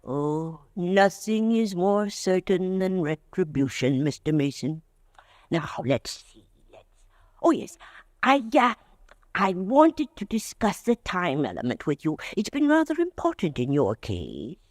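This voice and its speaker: An actor playing a soft-spoken, menacing man who plans people's retribution, in 1940s radio drama style, silky and hesitant with little giggles. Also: high-pitched, sing-song, unhurried, dry recording, clean studio sound. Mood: sinister. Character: creepy polite male retribution agent, menacing.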